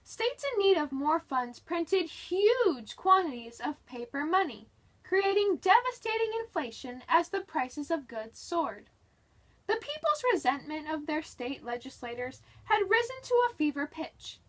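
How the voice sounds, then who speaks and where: A woman's voice: high-pitched, sing-song, unhurried. A woman reading a history book aloud in a dramatic, scripted narration style.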